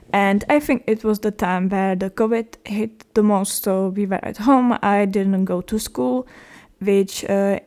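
Slow-talking Czech-accented young woman. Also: medium pitch, a little mellow. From Czech Republic. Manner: halting, many fillers and restarts. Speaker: art vlogger. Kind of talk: voice-over narration.

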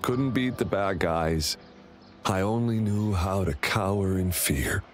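Slow-spoken timid young brother. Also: male voice, deep voice. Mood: ashamed, dejected. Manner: hesitant, apologetic, soft-hearted. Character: timid, remorseful young man, devoted older brother. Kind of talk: video game character dialogue. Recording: clear music behind the dialogue.

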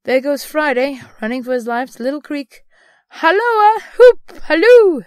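Woman acting an excitable old miser, high-pitched. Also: fairly quick, dry recording, natural voice. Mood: ecstatic. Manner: exclamatory, earnest, emotional. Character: old miser, excitable, overcome by boyish ecstasy and pity. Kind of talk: dramatic storybook reading.

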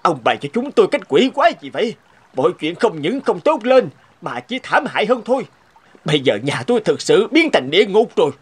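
A man's voice: high voice, very animated, brisk, slightly rough, full character voice. A man voicing a middle-aged, hot-tempered, gullible peasant husband in a folktale cartoon, exclaiming and grumbling. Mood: angry, exasperated. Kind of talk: animated folktale cartoon dubbing. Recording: background music under dialogue.

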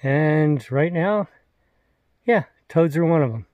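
A man in his sixties talks slowly into a handheld camera, rambling through his day with plenty of ums and restarts. His voice is warm and mellow at a middle pitch. The sound is dry and close. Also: lively intonation, dry recording, lo-fi sound. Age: 60s. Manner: unhurried, rambling, frequent fillers and restarts. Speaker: thru-hiker vlogger. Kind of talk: casual trail vlog. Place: forest trail outdoors; wooden hostel room indoors. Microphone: phone or camera held at arm's length.

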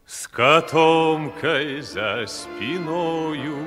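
A carefree male tramp performs a folksy wanderer's song in a radio play. The voice sits fairly high and rings with long reverb over clear music. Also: extremely slow. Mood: carefree singing.

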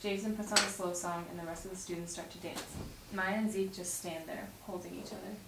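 Low-voiced young woman reading, roomy and distant. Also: fairly even intonation, brisk. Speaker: script reader at a table read. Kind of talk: reading stage directions aloud. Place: home dining room around a table. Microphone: far camera. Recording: roomy, distant mic, clear background noise.

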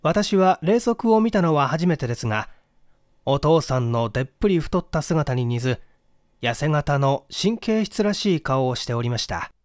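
Lively high-voiced man reading a story. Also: moderate pace, dry recording, studio quality. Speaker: audiobook reader. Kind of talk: scripted literary narration of a detective story.

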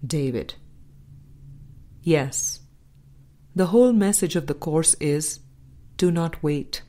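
A woman with a slightly low voice reads a spiritual book as scripted narration. Her pace is very slow and deliberate. She leaves measured pauses between phrases. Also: mellow. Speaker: audiobook narrator.

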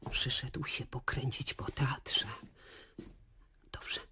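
An actress playing a furtive female theatre worker on a secret call, hushed and conspiratorial, in a radio drama. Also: rumbling low, little pitch movement, extremely slow, creaky, whispered, heavily acted. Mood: furtive, urgent.

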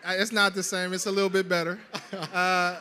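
A man's voice: high voice, little pitch movement, a little fast, sharp tone, a little hiss or hum. A young man from Detroit, a city council member, joking lightly and listing his duties on a panel, with many "uh"s, into a handheld mic. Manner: jokey, listing many titles, lots of uh. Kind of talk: panel discussion answers. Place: conference stage, indoors.

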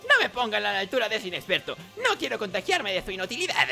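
A man's voice: high-pitched, wildly swooping pitch, quick pace, vocal fry, bright, forceful tone, soft background music, voice pushed up, exaggerated voice. A man voicing a pompous, huffy, nerdy young man from a video game, fussy and indignant.

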